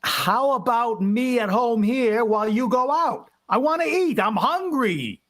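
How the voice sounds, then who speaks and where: A man's voice: very high voice, expressive, measured pace, a bit bright, full character voice. A man playing a tired, home-loving working husband in a vintage-style radio drama, complaining in plain colloquial speech. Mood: weary, grumbling.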